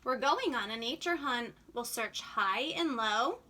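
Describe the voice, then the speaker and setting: A woman reading a picture book aloud to young children in an animated, sing-song, expressive way. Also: fairly high pitch, unhurried.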